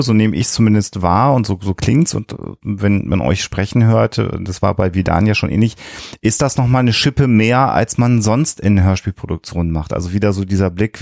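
A man with a low voice and a noticeable gravelly fry conducts a conversational interview. He circles around his questions with some hesitation, in a very dry acoustic. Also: a little mellow, no echo. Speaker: podcast host and interviewer.

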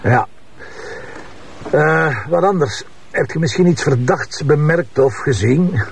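An actor playing a gruff, wry middle-aged police commissioner with a Flemish accent in a radio crime drama. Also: male voice, a little high, lively intonation, slow, far from the mic, a little hiss or hum, exaggerated voice. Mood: brisk questioning.

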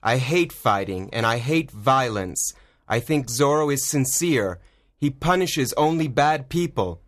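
A polite young nobleman and masked swordsman, as a male narrator puts him on, in a mid-pitched, even storybook voice. He speaks with calm courtesy and steady resolve. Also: measured pace, natural voice. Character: gentle noble young man, secretly a masked hero.

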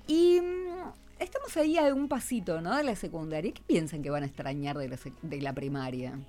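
Lively Argentine woman hosting radio. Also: low-pitched, moderate pace. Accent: Argentine (Rioplatense). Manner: informal, teacherly, prompting with questions. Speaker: radio host interviewing schoolchildren. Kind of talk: conversational radio interview.

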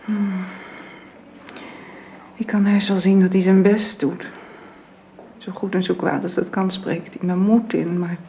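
A weary bedridden wife. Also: female voice, fairly low pitch, flat delivery, creaky, a little hiss or hum. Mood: resigned. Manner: soft, halting, often whispered. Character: long-ill bedridden wife, gloomy and resigned. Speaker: bedridden invalid. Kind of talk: radio drama acting. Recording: dull lo-fi old radio recording.

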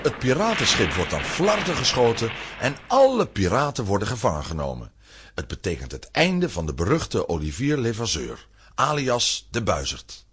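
A man's voice: on the low side, wildly swooping pitch, normal speed, a bit bright, a little hiss or hum. A man narrating a children's audio drama in a suspenseful, theatrical storytelling style.

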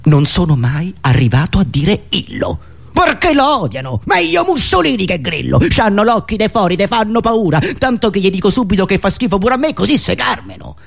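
Male monologue performer, Roman accent, highish and very lively pitch, ironic, dramatic delivery. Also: a little fast, vocal fry. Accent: Roman Italian. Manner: ironic, dramatic shifts between muttering and loud declaiming. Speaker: satirical monologue performer and radio show host. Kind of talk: theatrical satirical monologue.

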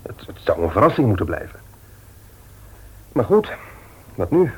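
An actor playing a worried, insistent brother-in-law with a very husky voice in a radio play. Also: male voice, lowish voice, sing-song, normal speed, a little mellow, heavily acted, rough recording. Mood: disappointed.

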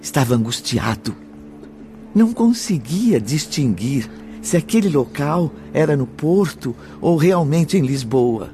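A man's voice: a little high, lively intonation, unhurried, dark tone, slight background noise. A man giving scripted, dramatic narration for a radio drama.

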